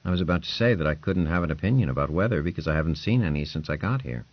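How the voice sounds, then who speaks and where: A man's voice: rumbling low, animated, rapid-fire, dry recording, highs cut off. A man voicing a nervous, humble young man who speaks in overly formal, hesitant phrases. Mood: deferential.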